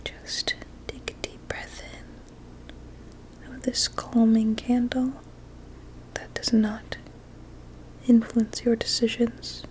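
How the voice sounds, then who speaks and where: A woman playing a sweetly coaxing, sinister doctor in an ASMR roleplay, with a deliberate, soothing and formal manner. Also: on the high side, a little mellow, poor audio. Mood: quietly coaxing. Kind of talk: whispered ASMR medical roleplay.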